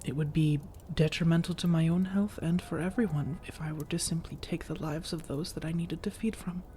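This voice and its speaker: An elegant vampire woman, acted by a woman, speaks in a mellow, soft, breathy voice that often sinks to a whisper. Her phrasing is measured, formal and coaxing, in the manner of an ASMR roleplay. A faint noisy ambience sits behind her. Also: low-pitched, steady tone, close to an everyday voice. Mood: measured, serious. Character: elegant, persuasive, long-lived female vampire seeking a roommate.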